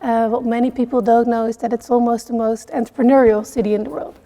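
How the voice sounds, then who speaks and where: A middle-aged woman speaks with a slightly high but dark, mellow tone and a gravelly edge of fry. She answers interview questions earnestly, stopping often on fillers as she thinks. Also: flat delivery, quick pace. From Netherlands. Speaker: CEO of a network for social entrepreneurs.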